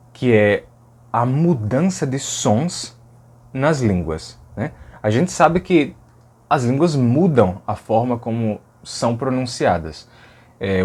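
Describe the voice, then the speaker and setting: A man's voice: medium pitch, a little mellow, slightly rough. A man teaching a lesson in a conversational way, often tagging "né?" and sounding out speech examples. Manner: conversational, fillers like "né?", demonstrates sounds aloud. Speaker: language educator. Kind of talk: explanatory video lesson.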